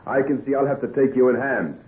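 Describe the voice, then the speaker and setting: An actor playing a sensible, protective gentleman friend, brisk, solicitous and commanding, in an old-time radio drama. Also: medium pitch, lightly acted. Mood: brisk, reassuring. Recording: tinny, band-limited vintage radio recording.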